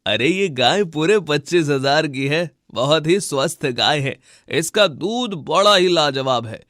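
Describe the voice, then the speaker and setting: A man's voice: on the high side, lively intonation, unhurried, fairly bright tone, no room sound, clean studio sound. A man voicing a proud, irritable village cattle trader in a cartoon, boastful and brusque. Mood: boastful selling. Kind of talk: Hindi cartoon moral-story dubbing.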